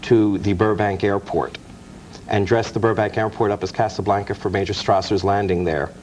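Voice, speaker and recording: A man's voice: lowish voice, fairly even intonation, moderate pace, darkish tone, slight background noise, low-quality recording. A man speaking as an author guest, telling anecdotes in an explanatory, storytelling way. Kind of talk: anecdotal film-history interview.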